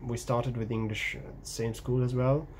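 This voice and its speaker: A man's voice: medium pitch, little pitch movement, normal speed, a little hiss or hum. Egyptian man musing in a casual vlog. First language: Egyptian Arabic. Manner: hesitant, many ums and restarts, gestures while talking. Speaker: language-learning vlogger. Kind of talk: casual talking-head vlog. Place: small room at home by a window.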